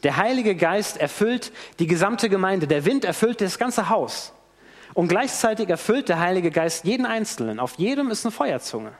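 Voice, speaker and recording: Lively high-voiced man preaching in a reverberant hall. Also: normal speed. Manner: animated storytelling with acted-out quotes. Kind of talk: sermon. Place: church hall. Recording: long church hall reverb.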